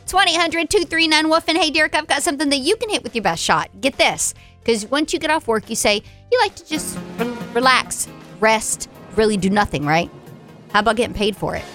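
A woman co-hosting a radio morning show, chatty and excitable, laughing often. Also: high voice, brisk, faint music behind.

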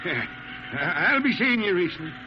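A man's voice: high-pitched, sing-song, moderate pace. An actor playing an awkward, conciliatory spaceship crewman with a hesitant, placating manner in a 1950s radio drama. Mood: uneasy, placating. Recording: very noisy, muffled old radio recording.

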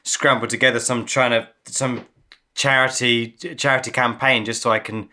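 A man's voice: medium pitch, level tone, moderate pace, background noise. A man interviewing a guest in a playful, joking way, often repeating words as he talks. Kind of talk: casual interview conversation.

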